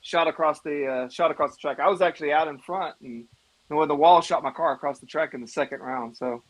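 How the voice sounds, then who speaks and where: A man with a fairly high voice chats on a live panel. He tells a loose, rambling story, padding it with "uh" and breaking off to restart his sentences. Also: quick pace. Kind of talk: casual chat, telling stories.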